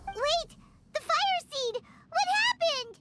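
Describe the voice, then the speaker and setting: A woman voicing a tiny floating fairy companion in a video game, childlike and squeaky, excitable and anxious, speaking in exclamations about itself in the third person. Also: normal speed. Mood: panicked.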